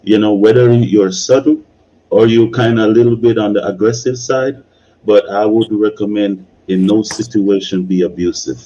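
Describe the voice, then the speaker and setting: A man's voice: on the low side, moderate pace, warm tone, lo-fi sound. A man casually giving opinions and advice in a live discussion, repeating himself for emphasis and often checking in with "you know". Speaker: video creator hosting a discussion.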